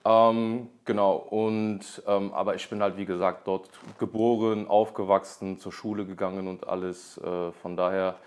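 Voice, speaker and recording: A man's voice: sits low, little pitch movement, normal speed, few pauses. A man in his thirties from Germany, a podcast guest talking casually with many fillers and restarts. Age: 30s. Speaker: podcast guest, real estate agent.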